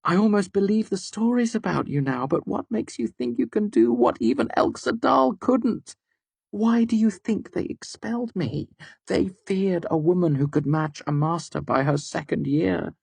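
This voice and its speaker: A man voicing a fierce, proud young woman moneylender with a sharp, mocking manner. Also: sits high, lively intonation, moderate pace, creaky, breathy edge, dry recording, voice pushed up, exaggerated voice. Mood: furious. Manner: sharp, mocking, rising to shouts.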